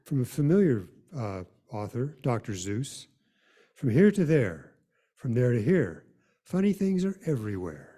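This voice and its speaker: A man leading a worship service in an informal, unhurried, gently guiding way. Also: medium pitch, big pitch swings, husky. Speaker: worship leader.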